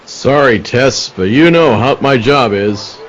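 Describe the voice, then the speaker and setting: A man's voice: medium pitch, expressive, takes it slowly, highs cut off. A man playing a businesslike police detective in a radio play, matter-of-fact and firm. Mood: patient, dry. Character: level-headed, businesslike male police detective. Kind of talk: old-time radio play acting.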